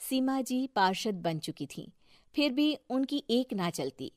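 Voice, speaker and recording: A woman's voice: fairly high pitch, animated, a little slow, darkish tone, no room sound. Dry, close woman storyteller. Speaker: narrator. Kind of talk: scripted story narration.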